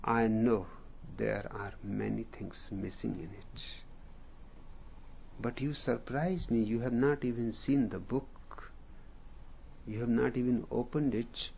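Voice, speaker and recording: A spiritual teacher with an Indian accent speaks very slowly, leaving long pauses between short phrases. His voice has a gravelly, fry-laden texture. He is a native Hindi speaker telling a story in a calm discourse. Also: medium pitch, a little hiss or hum.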